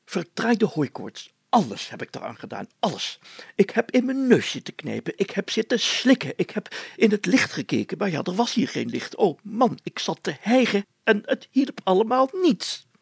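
A man's voice: high voice, sing-song, moderate pace, vocal fry, breathy edge, no room sound, pitched above the performer's own voice, heavily acted. A man voicing a pompous, fussy, easily flustered mayor in a storybook, sputtering and tripping over self-important words. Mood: agitated, relieved. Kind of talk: storybook character acting.

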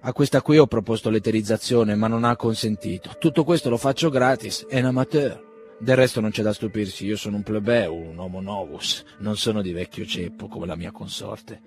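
Rich: A fussy old country doctor, full of flowery courtesy, who breaks into stammers when he gets nervous, as a male reader puts him on. He pitches his voice a touch higher and louder than plain narration. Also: lightly acted. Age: older. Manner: effusive, stammering, ceremonious.

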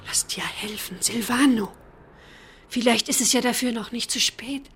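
An actress playing an earnest, wounded woman in a radio drama, reproachful and emotional with a breathy voice. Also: on the high side, moderate pace, a bit bright, a little hiss or hum. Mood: reproachful, pleading. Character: indignant, wounded woman, earnest prison volunteer.

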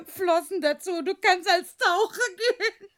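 A woman's voice: extremely high pitch, fairly bright tone, exaggerated voice. An actress playing an amused, mocking woman in a radio drama, with a giggly, teasing manner.

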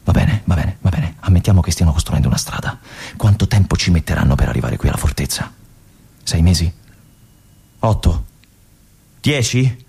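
A lonely obsessive lieutenant, husky voice, lively swinging pitch, theatrical monologue. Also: male voice, moderate pace, pauses often, heavily acted. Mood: calculating. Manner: musing aloud, animated swings from brooding to feverish. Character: lonely aging male army lieutenant, obsessive, brooding. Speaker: stage actor in a monologue.

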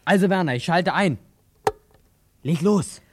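A cocky young tinkerer in a radio play. His voice is on the high side, with a brisk, colloquial, boastful delivery. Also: animated, a crowd in the background. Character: confident young lad, boastful amateur technician. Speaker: actor. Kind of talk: German radio drama acting.

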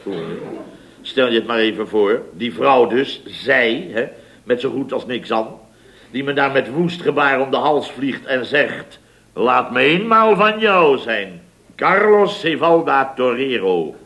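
A man's voice: medium pitch, animated, a little slow, a bit bright. An actor playing a flustered, indignant, chatty man in a radio comedy, rambling and repeating himself as he tells his story. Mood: aggrieved, exasperated. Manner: rambling, repetitive storytelling with stammers and rhetorical tags. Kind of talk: radio comedy acting in a dialogue sketch. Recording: radio recording with audience behind.

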